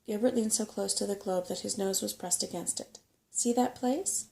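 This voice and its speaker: A soft-spoken woman reading a children's book aloud as an ASMR bedtime story. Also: medium pitch, little pitch movement, fairly quick, vocal fry.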